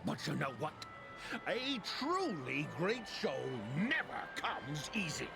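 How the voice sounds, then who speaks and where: An actor playing a grumpy, cynical old man in a video game, with a gravelly, scornful way of talking. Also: high voice, huge pitch swings, slow, exaggerated voice. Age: older. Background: game music.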